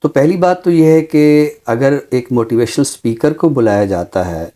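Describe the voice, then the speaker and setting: Male academic guest, dark mellow timbre, mid pitch, deliberate and explanatory. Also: animated. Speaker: Urdu teacher, programme guest. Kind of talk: considered discussion, explanatory.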